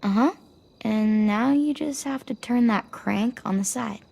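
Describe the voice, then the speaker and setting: A woman's voice: fairly high pitch, a little slow, gravelly, darkish tone. A woman voicing a friendly young child in a video game, explaining things simply and helpfully. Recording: faint noise, insects chirping.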